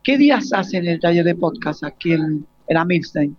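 High-voiced Argentine man, halting interviewer. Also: lively intonation, no echo. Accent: Argentine Spanish. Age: 40s. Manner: halting, rephrases questions repeatedly. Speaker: radio interviewer. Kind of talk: casual live interview with students. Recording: dull lo-fi live broadcast sound.

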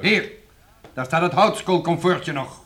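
An actor playing an earnest, easily exasperated guild master presiding over a meeting in a radio play, with emphatic delivery. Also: male voice, a little high, measured pace, a bit bright.

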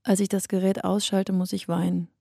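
Eine Schauspielerin spricht in einem Hörspiel eine nachdenkliche Erzählerin mit gemessenem, literarischem Vortrag. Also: female voice, mid-range voice, level tone, creaky, dark tone, no echo, studio quality. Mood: wistful, reflective. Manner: measured, literary delivery. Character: reflective woman narrator closing her dream diary. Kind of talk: scripted radio drama monologue.

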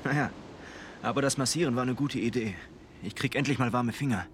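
An actor playing a caring, excitable young sailor in a radio play. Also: male voice, mid-range voice, brisk, slight background noise, lightly acted. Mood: teasing, caring. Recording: off-mic over loud sea ambience.

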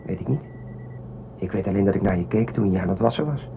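An actor playing an eager, impatient shuttle pilot, bossy but teasing, in a science fiction radio drama. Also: male voice, deep voice, fast. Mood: teasing, intimate. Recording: thin phone-like band, clearly noisy.

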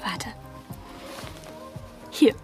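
An actress playing a reckless, foul-mouthed seventeen-year-old daughter in a radio drama, with crude, taunting, slangy delivery. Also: female voice, extremely slow, whispered, soft background music. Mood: defiant.

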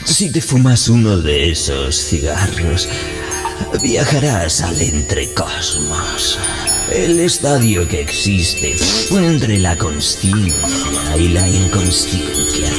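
An actor playing a solemn, cryptic vagrant who serves as an oracle in an audio drama. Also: male voice, low voice, exaggerated voice. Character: enigmatic male vagrant oracle of the park, solemn.

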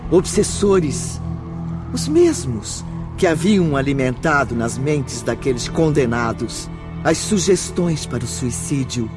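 Slow, dramatic male narrator. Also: a little high, very animated, over music. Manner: emphatic, theatrical pauses.

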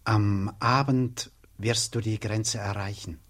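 A man playing a calm, respectful local who helpfully gives directions in a radio drama. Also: lowish voice, slow. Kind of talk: German radio drama acting.

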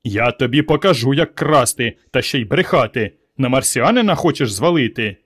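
A man plays a burly, stern father from a storybook. He threatens in a mid-pitched man's voice. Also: sing-song, a little fast, husky, dry recording, studio quality. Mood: menacing. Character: huge stern father threatening his son.

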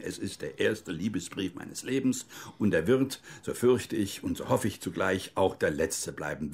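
An older man, an actor playing an embittered, stiff old army major in a radio play, with deliberate, biting delivery. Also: deep voice, vocal fry, husky, full character voice. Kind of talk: radio drama dialogue, letter reading.

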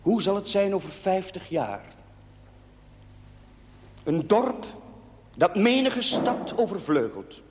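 An actor playing a devout village priest in a television drama, preaching solemnly in measured, emphatic cadences. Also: male voice, high-pitched, big pitch swings, exaggerated voice. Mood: wistful, rhetorical. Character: devout male village priest bidding his parish farewell. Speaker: parish priest. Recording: echoey church hall reverb, background hiss.

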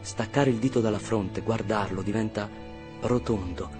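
A man's voice: fairly low pitch, moderate pace, over music, close to an everyday voice. An actor playing an Italian man who relives his 1980s childhood in a fragmented, vivid radio monologue. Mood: tense, vivid. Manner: fragmented, repetitive, vivid present-tense storytelling. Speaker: actor and narrator. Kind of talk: dramatic first-person radio monologue.

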